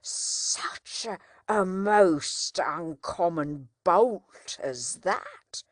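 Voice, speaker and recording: A woman reading aloud, voicing a kindly, simple-hearted blacksmith who speaks slowly and earnestly in homely country speech. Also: fairly low pitch, wildly swooping pitch, darkish tone, dry recording, studio quality, heavily acted. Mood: earnest, worried.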